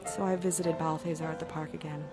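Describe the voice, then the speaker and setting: A woman's voice: deep voice, little pitch movement, fast talker, over loud music, close to an everyday voice. An actress playing a shrewd, sardonic woman who narrates an audio drama in a wry, confiding manner. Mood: wry, scheming.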